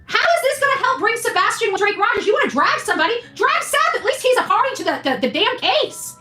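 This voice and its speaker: A woman's voice: very high voice, sing-song, fast talker, bright, forceful tone. A woman delivering an impassioned, blunt opinion rant as a show host, scolding, mildly profane and repeating phrases for emphasis. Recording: echoey room, faint music behind.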